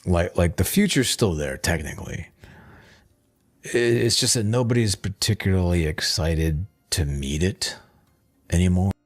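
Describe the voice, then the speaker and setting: A man's voice: low voice, measured pace. A man giving a reflective, conversational monologue in a hesitant, musing, understated way. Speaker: video essayist.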